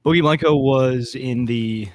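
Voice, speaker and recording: A man's voice: mid-range voice, unhurried, a crowd in the background. A man chatting casually as a podcast co-host, informal and slangy. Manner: informal, slangy, says dude. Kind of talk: casual chat. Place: home basement.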